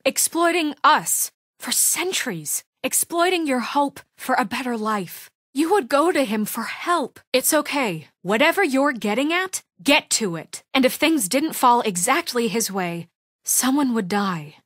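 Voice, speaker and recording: A dry, close recording of a woman in charge of a troubled fairy-tale community. Her voice sits fairly high and moves widely in pitch. She is firm, earnest and insistent, often exasperated, in naturalistic video game drama style. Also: animated, a little slow, a bit bright, no room sound, full character voice. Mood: earnest, reassuring.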